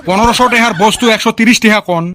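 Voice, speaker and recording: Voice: mid-range voice, racing, noisy background, lightly acted. A performer voicing a pushy, haggling cartoon clothes seller with a rural northern Bengali dialect and a persuasive sales patter.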